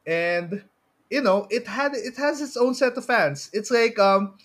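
A man with a Filipino accent chatting casually about movies as a podcast co-host, halting and prone to chuckling as he talks. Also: very high voice, animated, fairly bright tone.

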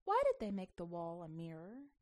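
A woman's voice: lowish voice, wildly swooping pitch, moderate pace, darkish tone. A woman voicing a young, earnest, reluctant girl who speaks in short, blunt, hesitant replies in a story reading. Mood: puzzled.

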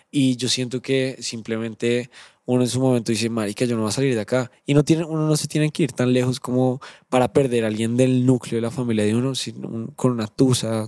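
A man's voice: medium pitch, a little mellow, no room sound, studio quality. A young man with a Colombian accent, a band member guest, musing earnestly in casual conversation and repeating phrases as he thinks. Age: young adult. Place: podcast studio.

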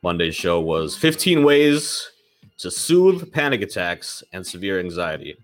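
A man's voice: low voice, big pitch swings, a little slow, soft background music. A man hosting his own podcast in loose, rambling casual talk, swearing freely and sounding slightly nasal from a lingering cold. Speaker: podcast host.

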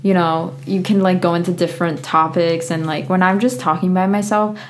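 A woman's voice: fairly low pitch, fairly even intonation, fairly quick, a little hiss or hum. A young woman hosting a podcast in casual chat, talking loosely with lots of filler words. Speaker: podcast host.

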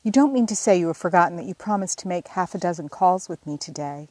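A woman's voice: on the low side, fast, mellow, no room sound, close to an everyday voice. A woman reading an audiobook voices a fussy younger sister who coaxes and instructs in a slightly lifted voice. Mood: scolding.

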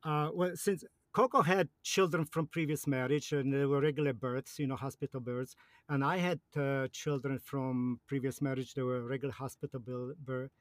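A man from Poland in his fifties with a Polish accent, a guest in a casual interview who speaks in a halting way with many fillers and restarts. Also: mid-range voice, fairly even intonation, dry recording.

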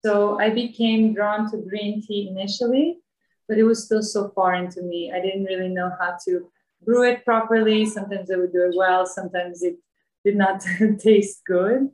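Woman from Bosnia, thirties, English, very roomy echo, medium pitch, reflective interview talk. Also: steady tone, normal speed, a little mellow. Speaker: interview guest. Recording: echoey room sound.

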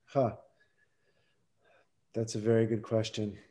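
A man's voice: lowish voice, monotone, slow pace, warm tone. A man in his fifties living in Canada, an easygoing, self-deprecating podcast guest. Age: 50s.

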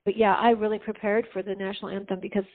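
A woman's voice: level tone, fast. Chatty woman singer on a tinny line. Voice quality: low voice. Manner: chatty, laughs often, many fillers. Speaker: singer, interview guest. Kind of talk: casual interview chat. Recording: phone-like narrow sound.

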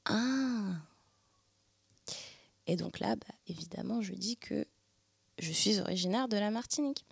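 Woman, from the Paris region, young adult, slow and searching, husky, dry close sound. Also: mid-range voice, no echo. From Paris region, France. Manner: thoughtful, searching, many fillers. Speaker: speaker sharing personal testimony. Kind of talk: reflective personal monologue.

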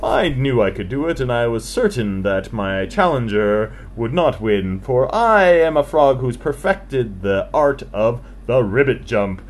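A man's voice: mid-range voice, sing-song, unhurried, few pauses. A man voicing a big old male frog who speaks in a proud, formal way. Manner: pompous, formal.

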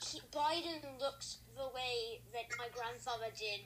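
High-voiced Australian woman, rambling. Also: unhurried, a bit bright, slight background noise, rough recording. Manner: rambling, hesitant, lots of fillers. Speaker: participant on a group video call. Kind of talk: casual chat.

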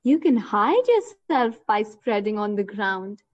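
An actress playing a woman hunter who stalks her target and marvels at winged creatures, thinking aloud in deliberate short phrases in an audio drama. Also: mid-range voice, sing-song. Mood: hushed, watchful. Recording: dull lo-fi recording.

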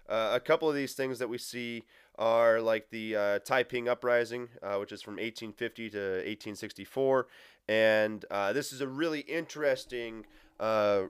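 A man giving a casual history lecture, full of uhs and restarts, his voice a bit nasal and raspy from allergies. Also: on the low side, dry recording, studio quality. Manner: filler-heavy, casual, frequent uhs and restarts. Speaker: history teacher. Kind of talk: recorded lecture.

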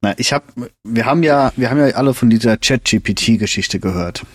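A man co-hosting a podcast in relaxed, casual chat, explaining things plainly and laughing easily. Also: medium pitch, normal speed, breathy edge. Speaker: podcast co-host.

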